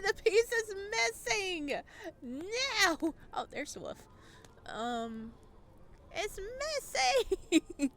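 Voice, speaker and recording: A woman's voice: extremely high pitch, fairly bright tone, slight background noise. A woman playing a visual novel, reading it aloud and chatting with reactions as she goes. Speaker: video game streamer.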